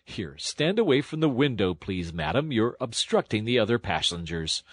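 Man doing a husky, scornful ticket clerk. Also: on the low side, very animated, moderate pace, no echo. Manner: curt, sarcastic. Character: scornful, officious male railway ticket clerk. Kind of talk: storybook character acting.

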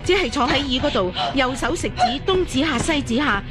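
A woman's voice: high-pitched, animated, a little fast, loud music behind the voice. An actress playing a confident, chatty young woman watching a fight in a radio drama. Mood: amused, admiring. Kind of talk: Cantonese radio drama acting.

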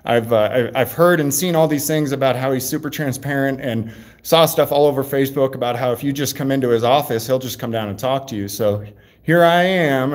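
A man chatting casually and loudly on a livestream while he waits in an indoor lobby. He rambles and restarts often, with plenty of "uh"s, and his voice sits at an ordinary middle pitch. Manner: rambling, halting, many fillers and restarts; loud voice, by his own account. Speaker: livestreaming channel host. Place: sheriff's office lobby, indoors.

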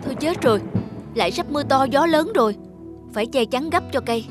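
Humble, hopeful young family man in a cartoon folk tale, acted by a woman, highish pitch, earnest, music behind. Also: animated, brisk. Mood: alarmed. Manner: earnest, respectful. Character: humble, steadfast young husband and father, hopeful. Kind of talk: cartoon fairy tale character voice.

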